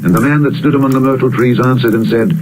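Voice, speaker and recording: A man reads scripture as a solemn narrator, in a measured, formal and declamatory way. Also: medium pitch, music in the background. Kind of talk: solemn scripted audiobook reading of the Bible. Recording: steady loud crackling fire and rain noise. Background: crackling fire and soft rain.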